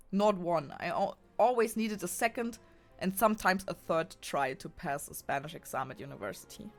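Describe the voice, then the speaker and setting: A young woman with a German accent chatting casually on a game stream, rambling and often restarting and correcting herself. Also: lowish voice. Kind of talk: casual chat.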